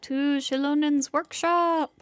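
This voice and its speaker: A woman's voice: high-pitched, steady tone, takes it slowly, a little hiss or hum. A woman game streamer giving casual, informal, understated livestream commentary.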